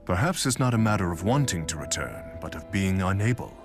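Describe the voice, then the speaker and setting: An actor playing a dignified king in a video game, calm and commanding, with a formal, terse delivery. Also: low voice, fairly quick. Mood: grave. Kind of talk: English video game voice acting. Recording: music under the voice.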